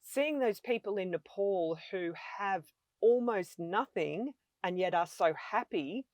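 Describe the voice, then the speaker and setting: A woman's voice: medium pitch, lively intonation, unhurried, darkish tone, no room sound. A woman in her fifties with an Australian accent, chatting enthusiastically as an interview guest about her travels. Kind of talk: casual conversation.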